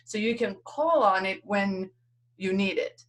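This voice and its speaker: A woman from Finland whose first language is Finnish speaks at a medium pitch. She talks as a guest on a podcast, telling stories reflectively and conversationally. Her manner is earnest, with light laughter through it. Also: a little slow, fairly bright tone.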